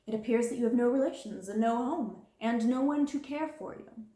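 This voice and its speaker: A woman's voice: on the high side, moderate pace, warm tone, echoey room, voice pushed up, lightly acted. A woman voicing a harsh, domineering middle-aged schoolmistress in a storybook reading, cold and scornful.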